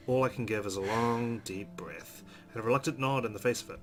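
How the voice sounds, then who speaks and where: A male gamer with a medium-pitched voice reads story text aloud for a let's play. His delivery is expressive, moving between narration and casual commentary. Faint game music plays behind him. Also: a little fast. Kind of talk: expressive reading of visual novel narration with casual asides.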